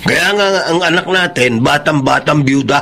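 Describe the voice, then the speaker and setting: A man's voice: a little high, moderate pace, creaky, pushed and bright. A man playing a disapproving, pessimistic older father in a Filipino radio drama. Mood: grumbling, regretful. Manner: grumbling, skeptical.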